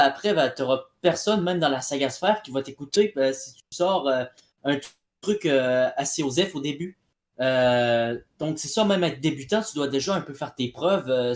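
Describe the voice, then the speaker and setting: A man talking colloquially and bluntly in a casual, unscripted debate. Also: medium pitch. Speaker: podcast debate participant. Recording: echoey, roomy sound.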